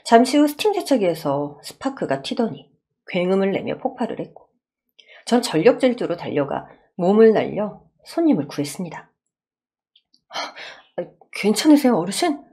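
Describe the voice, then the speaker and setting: A woman narrates a scripted first-person story in radio drama style. Her medium-pitched voice moves with wide, animated intonation. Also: normal speed.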